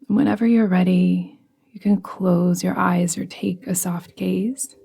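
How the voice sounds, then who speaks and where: A woman guides a meditation very slowly, in an even, barely varying tone. Her voice is soft and breathy, with a creaky edge as phrases settle. She instructs gently and soothingly. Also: on the low side. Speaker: yoga nidra guide. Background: music.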